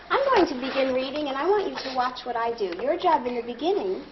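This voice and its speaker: A woman leading a read-aloud lesson as a primary teacher, deliberate and instructive, thinking out loud. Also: sits high. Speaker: primary school teacher reading aloud to a class. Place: classroom. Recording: muffled classroom recording with clear background noise.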